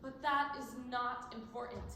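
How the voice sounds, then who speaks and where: A high-voiced, solemn young girl played by a woman narrates a stage monologue in deliberate phrases. The room echoes strongly, with clear noise behind her. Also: steady tone. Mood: grave, sorrowful. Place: stage in an echoing hall, live performance. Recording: roomy echoing stage, loud background hiss.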